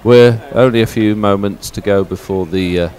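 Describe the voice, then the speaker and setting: A male sports presenter with a fairly low voice calls a live race. His delivery is hesitant and halting, in the unscripted way of live commentary. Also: fairly even intonation, darkish tone.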